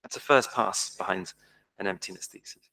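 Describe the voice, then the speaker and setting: A man's voice: low voice, moderate pace, pushed and bright, low-quality recording. A man with an English accent, giving an informal academic lecture at a conference, self-deprecating and full of ums. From England. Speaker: conference speaker. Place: lecture hall.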